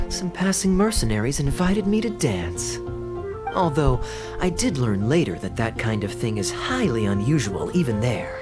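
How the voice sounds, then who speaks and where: A man's voice: mid-range voice, lightly acted. A man playing a suave, teasing young knight. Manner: smooth, playful, gently mocking. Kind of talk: game voice acting. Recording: game audio with music behind.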